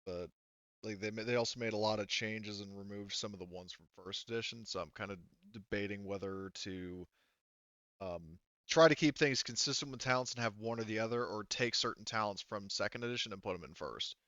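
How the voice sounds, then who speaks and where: Man, low smooth voice, casual game master talk, dry but slightly dull lo-fi recording. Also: a little fast, a bit bright, no echo. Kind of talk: casual tabletop game discussion.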